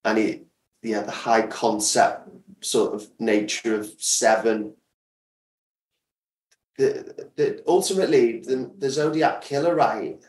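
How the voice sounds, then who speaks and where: A man's voice: medium pitch, takes it slowly, pauses often, slight background noise. Guy being interviewed, roomy echo, bit far from the mic, keen and emphatic, chatty. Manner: enthusiastic, emphatic, repeats words for stress. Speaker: guest, audio drama creator. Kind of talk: casual interview chat.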